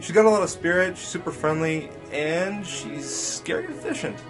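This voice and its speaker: A man's voice: on the high side, normal speed, over music, lightly acted, rough recording. A man playing an easygoing, encouraging pony colleague in a radio play. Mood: reassuring. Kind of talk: amateur radio play acting.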